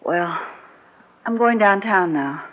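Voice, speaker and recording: An American actress playing a composed, resolute, quietly wistful married woman in a mid-century radio drama. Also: mid-range voice, expressive, slow, a little hiss or hum. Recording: dull old radio recording.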